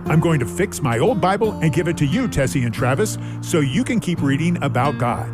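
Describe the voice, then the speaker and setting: A man playing a kindly, gentle giant lumberjack in a children's radio drama. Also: on the high side, very animated, fairly quick, music in the background. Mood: kind, grateful. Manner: gentle, reassuring.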